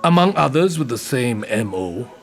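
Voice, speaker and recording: An actor playing a gruff, jaded, hard-drinking senior detective in an audio drama, blunt and terse. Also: male voice, mid-range voice, sing-song, normal speed. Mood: terse, probing. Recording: music behind the voice.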